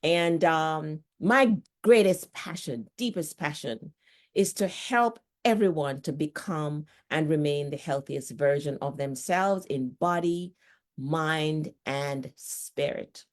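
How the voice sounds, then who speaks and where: A low-pitched middle-aged woman with a Jamaican accent talks in a relaxed, conversational way. She often repeats words as she goes, like a nurse explaining things to a friend. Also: takes it slowly. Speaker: nurse and author, guest. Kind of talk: casual conversational talk.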